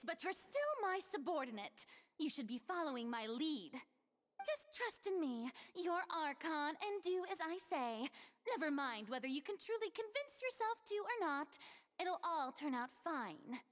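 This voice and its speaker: High, haughty young goddess. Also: female voice, sing-song, a little slow. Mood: haughty, dismissive. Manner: dramatic, haughty, breezy. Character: theatrical young female god, haughty, flippant, evasive. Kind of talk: video game voice acting. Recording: game music behind. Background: orchestral game music.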